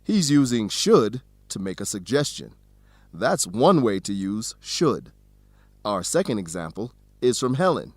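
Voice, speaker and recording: A man's voice: fairly low pitch, very animated, unhurried, dry recording. A man giving scripted educational narration as a host and English teacher, in a didactic, carefully enunciated manner.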